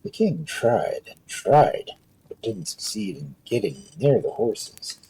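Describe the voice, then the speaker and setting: A man's voice: lowish voice, very animated, slow, dark tone, husky, poor audio. A man working as a storyteller, reading a folk tale aloud.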